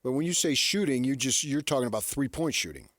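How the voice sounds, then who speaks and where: A man's voice: mid-range voice, expressive, fast, fairly bright tone, no room sound, studio quality. A man chatting casually as a co-host on a sports radio show.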